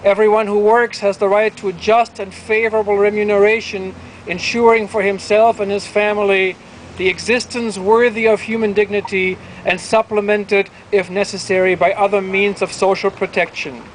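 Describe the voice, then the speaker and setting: A man's voice: high voice, flat delivery, moderate pace, poor audio. A man reading a formal declaration aloud as a public reader at an outdoor event. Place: outdoor town plaza. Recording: outdoor street noise behind.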